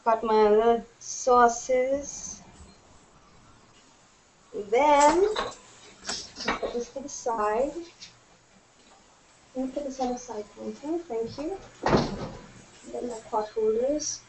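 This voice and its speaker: A woman's voice: on the high side, slow, long pauses. A woman in her thirties hosting a live cooking show from her home kitchen, narrating each step as she plates food and chatting on a video call. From Trinidad and Tobago. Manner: chatty, laughing, narrating her own steps. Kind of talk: live cooking demonstration chat. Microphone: webcam on a video call. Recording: noisy webcam audio.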